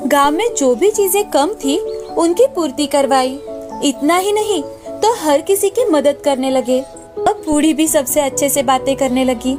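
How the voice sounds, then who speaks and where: A woman storyteller reading a scripted moral tale for a cartoon. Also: sits high.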